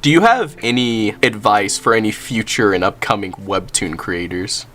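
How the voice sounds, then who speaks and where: An interviewer hosting a casual chat, joking around and exclaiming in an excitable way. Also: mid-range voice, normal speed. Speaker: interviewer, YouTube host.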